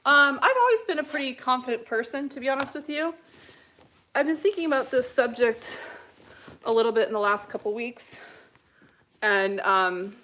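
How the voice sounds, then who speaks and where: An American woman on a casual live stream, reading out viewer comments and answering them in a relaxed, unhurried way with plenty of fillers. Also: high voice, a little hiss or hum. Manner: reads viewer comments aloud.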